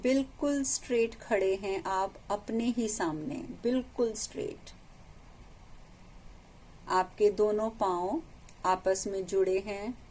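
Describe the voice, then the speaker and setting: Slow-paced Indian woman guiding meditation. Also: medium pitch, a little hiss or hum, poor audio. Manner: calm, instructive, with long pauses between phrases. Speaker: meditation teacher. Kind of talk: guided meditation instruction with short chants.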